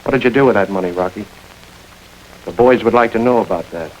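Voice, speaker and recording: Man acting a fast-talking, hard gang boss. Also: on the low side, darkish tone, highs cut off, lightly acted. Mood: commanding, accusing. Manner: terse, giving orders. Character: hard, commanding male gang boss under challenge. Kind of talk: 1940s film noir radio acting. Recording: noisy old radio drama recording.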